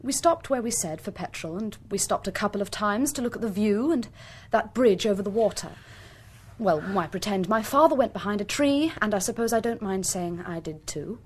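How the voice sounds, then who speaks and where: An actress playing a haughty, indignant young English tourist in a radio drama, clipped and defensive in manner. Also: female voice, medium pitch, lively intonation, normal speed, husky.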